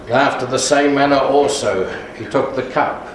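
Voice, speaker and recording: A man leading a communion service, speaking quietly and solemnly in prayer without a microphone. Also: mid-range voice, normal speed. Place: large echoey church without a mic. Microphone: distant room recording. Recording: far-off voice in an echoey hall with noise.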